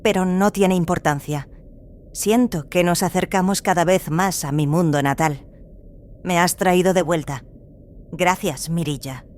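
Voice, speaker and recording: A woman playing a serene, fervent religious zealot in an audio drama, speaking calmly and solemnly. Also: fairly low pitch, a little slow, close to an everyday voice. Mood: serene, devout. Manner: calm, devout, solemn. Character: serene, fervent cloned female zealot from an isolated world. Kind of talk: dramatized audio fiction dialogue in Spanish.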